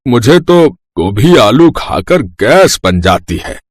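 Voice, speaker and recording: A man playing a thrifty, grumbling elderly father-in-law in a family story, fussy and halting. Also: mid-range voice, big pitch swings, no echo, clean studio sound.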